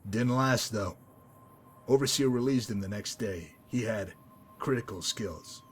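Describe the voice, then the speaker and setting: A man playing a calm, menacing hitman with a bitter past in a video game, speaking in a measured, deliberate, coolly condescending way. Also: lowish voice, slight background noise, close to an everyday voice. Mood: grim reminiscing. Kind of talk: dramatic video game mod monologue and dialogue.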